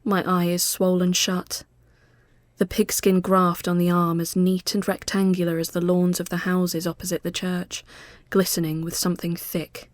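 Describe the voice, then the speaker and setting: A woman reading a literary ghost story as scripted narration, measured and often hushed as phrases end. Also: fairly low pitch, little pitch movement, no room sound.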